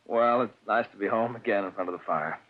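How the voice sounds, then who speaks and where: An actor playing an anxious, mild-mannered husband in an old radio drama, with a husky, stammering delivery. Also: male voice, on the low side, fast, natural voice. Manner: nervous, stammering. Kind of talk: 1940s radio acting. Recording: muffled old radio recording.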